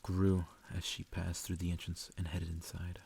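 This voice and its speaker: A man doing a soft ASMR reading of a story, sometimes stumbling over harder words. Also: low voice, completely flat delivery, moderate pace, vocal fry, slightly rough. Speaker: ASMR creator reading a visual novel aloud.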